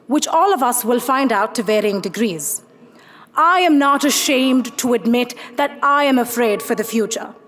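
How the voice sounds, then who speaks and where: High-voiced young woman giving a commencement speech. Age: young adult. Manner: earnest, measured, with rhetorical questions. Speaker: graduating student speaker.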